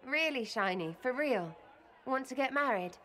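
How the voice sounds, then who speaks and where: A woman voicing a brash, cheeky young elf rogue in a video game, with an English accent and a halting, slangy, playful delivery. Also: high voice, lively intonation, pushed and bright, a faint crowd. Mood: earnest, hopeful. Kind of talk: video game cutscene dialogue.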